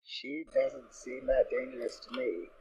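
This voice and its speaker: A man's voice: medium pitch, measured pace, a little mellow, a little hiss or hum, lightly acted, rough recording. A man playing a laid-back ghoul pony guide with a dry manner in an amateur audio drama. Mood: calm.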